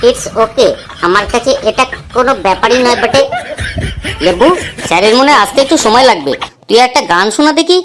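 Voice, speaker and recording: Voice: medium pitch, lively intonation, brisk, a little hiss or hum, lightly acted. A performer voicing cheeky, playful schoolboys in a comedy cartoon.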